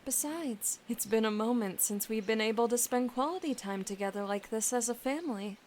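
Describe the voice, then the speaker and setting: A woman plays an affectionate wife and mother in an audio drama. Her voice is fairly high, gentle and softly firm, over faint ambient noise. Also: brisk, close to an everyday voice. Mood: gently chiding. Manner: gentle, warmly chiding.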